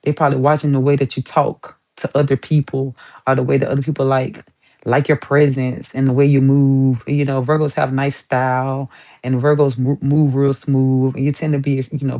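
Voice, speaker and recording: A woman's voice: very deep voice, level tone, brisk, dark tone. A woman giving a casual tarot reading to her viewers, chatty and emphatic, swearing casually and repeating herself for emphasis. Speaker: tarot reader.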